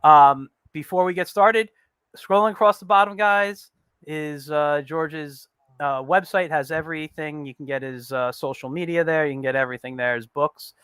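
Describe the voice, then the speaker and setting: A man's voice: a little high, fairly even intonation, moderate pace, a bit bright, clear, resonant tone, no room sound. A man in his forties hosting an online interview show, chatty and upbeat with frequent fillers. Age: 40s. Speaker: host.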